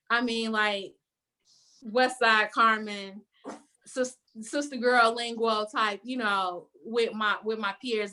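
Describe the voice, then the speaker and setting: A woman from the west side of Chicago with a Chicago accent, talking openly with a panel. Her voice is bright and sits a little high. She thinks aloud and lets "um" fill the gaps, heard through a video call. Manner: reflective, with frequent "um" pauses. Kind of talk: candid personal sharing on a video call panel.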